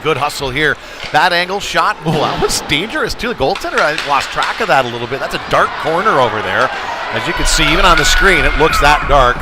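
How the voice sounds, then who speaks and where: A man doing conversational, folksy live play-by-play commentary of a youth hockey game, getting excitable when play heats up. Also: fairly high pitch, very noisy. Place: indoor ice rink. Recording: live rink stream with music behind. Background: music over rink PA.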